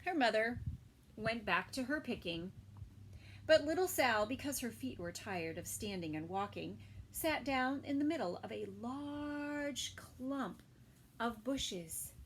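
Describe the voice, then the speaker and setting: A woman teacher reading a picture book aloud to small children, gentle and unhurried, with soft whispered asides. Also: a little high, lively intonation, a little hiss or hum, rough recording. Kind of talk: children's story time read-aloud.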